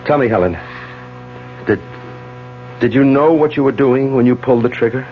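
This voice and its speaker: An actor playing an authoritative, controlled doctor in a 1970s radio mystery, with a commanding, deliberate manner. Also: male voice, mid-range voice, a little hiss or hum, lightly acted. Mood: tense, questioning. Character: authoritative, controlled male doctor managing a crisis. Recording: dull 1970s radio broadcast with music.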